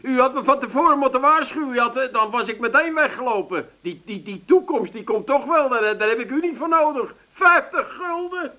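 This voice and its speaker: A man's voice: very high-pitched, animated, fairly quick, few pauses, pushed and bright, slight background noise, heavily acted. An actor playing an anxious, easily offended man who stammers and protests in a comic radio play. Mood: indignant, whining.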